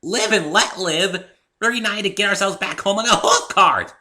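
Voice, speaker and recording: Guy doing a hyper girly cartoon pony, high and sharp, super emphatic, pouty. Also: normal speed. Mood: indignant. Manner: emphatic, bubbly. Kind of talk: dramatic fan-fiction reading.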